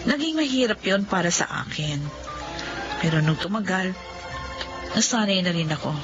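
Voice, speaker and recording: A woman's voice: mid-range voice, animated, normal speed, lightly acted. An actress voicing a devoted young working wife and mother, narrating and speaking in an earnest, polite way. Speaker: narrator and lead. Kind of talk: Filipino radio drama narration. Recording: dull lo-fi audio over music.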